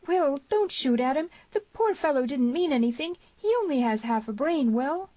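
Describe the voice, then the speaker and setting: A woman's voice: medium pitch, expressive, moderate pace, dark tone, no echo, muffled recording, voice pushed up, close to an everyday voice. A woman voicing a bold, passionate young frontier woman in a western audiobook. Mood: panicked.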